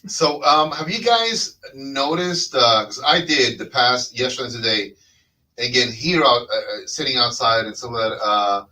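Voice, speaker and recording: A man's voice: mid-range voice, normal speed, pushed and bright, distant mic, low-quality recording. Rambling man hosting a casual chat. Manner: rambling, many fillers and restarts. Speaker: podcast host.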